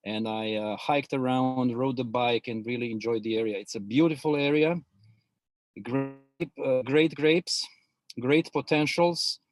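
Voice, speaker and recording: A middle-aged man with a Croatian accent tells his background in a webinar interview, often pausing on "uh" and restarting his sentences. His voice sits at an average pitch, and the video-call sound is dry, with almost no room echo. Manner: hesitant, many filler words and restarts. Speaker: guest expert.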